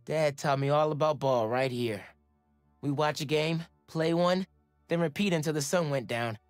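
An actor playing a sincere, enthusiastic teenage superhero in a video game, speaking casually. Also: male voice, on the high side, moderate pace, no echo, soft background music, natural voice. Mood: fond, admiring. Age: young adult. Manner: casual, earnest.

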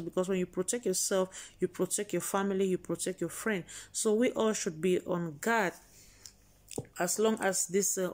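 Woman, news vlog host; chatty, exclaiming delivery, middle pitch. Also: darkish tone. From Cameroon. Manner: chatty, exclaiming, repeats points to the viewers.

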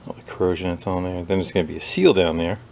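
A man's voice: low voice, fast talker, vocal fry. A man hosting a small engine repair video, chatting casually as he works at his bench and sometimes turning away from the mic. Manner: relaxed, chatty, talks while working. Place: workshop with a bench and wire wheel.

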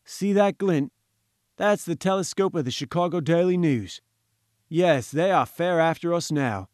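A man voicing a huge, rough, suspicious gatekeeper in a storybook, talking in colloquial, folksy turns of phrase. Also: fairly high pitch, lively intonation, measured pace, a little mellow, no echo, clean studio sound, voice pushed up. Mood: gruff, informative.

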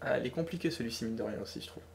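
A young woman co-hosting a gaming talk show live stream, teasing and animated as she runs a guessing game. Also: very deep voice, gravelly, a little hiss or hum. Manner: teasing, animated, quick laughs. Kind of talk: playful livestream quiz chat.

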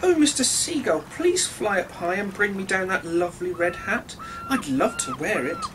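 A man's voice: high-pitched, wildly swooping pitch, normal speed, a bit bright, slight background noise, pitched above the performer's own voice, exaggerated voice, poor audio. A man voicing a small, eager little fish in a children's storybook, polite and pleading.

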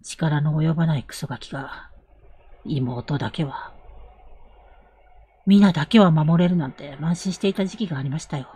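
Protective elder brother, played by a woman: young man, husky low register, measured and earnest, period audio drama. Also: dark tone. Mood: bitter, restrained.

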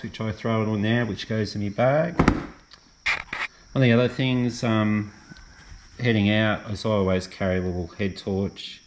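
Middle-aged Aussie man, deep voice, loose unscripted gear chat with frequent ums. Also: background noise. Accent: Australian. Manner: relaxed, rambling with many ums and restarts. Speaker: fishing vlogger. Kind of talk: casual gear walkthrough. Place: garage or shed, indoors.

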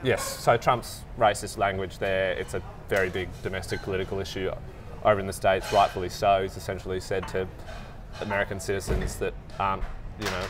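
A man explaining political issues in a measured way, with frequent hesitations. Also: low-pitched, level tone, background noise. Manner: measured, explanatory, many fillers. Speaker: political commentator. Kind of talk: casual political panel chat. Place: indoor bar-restaurant, seated at a small table.

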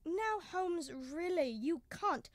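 Young woman as protesting detective's companion, slow delivery, dry sound, indignant gentleman, old radio drama. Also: extremely high pitch, lively intonation, no echo, exaggerated voice. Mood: mildly indignant. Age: young adult. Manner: hesitant, protesting. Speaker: student actor. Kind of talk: 1930s-style radio drama acting.

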